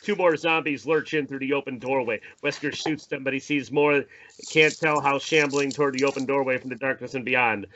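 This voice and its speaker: A man's voice: medium pitch, steady tone, brisk, bright, forceful tone, dull audio. A man reading stage directions as narrator in a scripted podcast table read.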